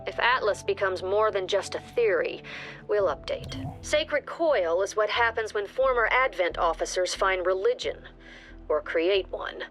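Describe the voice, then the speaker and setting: A game's briefing officer who speaks in crisp, clipped sentences. Her voice sits mid-range and stays level. Soft score music plays underneath. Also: mid-range voice, expressive, unhurried. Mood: calm. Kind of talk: game cutscene voice acting.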